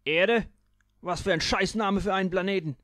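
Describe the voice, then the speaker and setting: A man voicing a rude, hot-tempered bronze alien who shouts insults in a storybook reading. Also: high-pitched, normal speed, no echo, clean studio sound, pitched above the performer's own voice. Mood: outraged.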